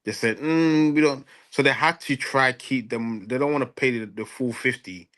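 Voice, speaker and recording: A man's voice: mid-range voice, moderate pace, a bit bright. A man in a casual football transfer debate, speaking emphatically and repeating phrases for stress. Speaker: football fan show panelist.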